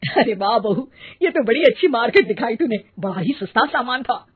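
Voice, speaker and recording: A woman voicing an enthusiastic, homely older mother-in-law with a husky voice in a cartoon story. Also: on the high side, expressive, a little fast, no room sound, narrow, tinny audio. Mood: pleased.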